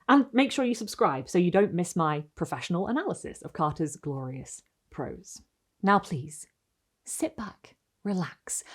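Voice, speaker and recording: A woman presenting and reading literary tales in a dramatic storytelling style, savouring her words with deliberate, careful enunciation. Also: medium pitch, darkish tone. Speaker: host reading and analysing short stories.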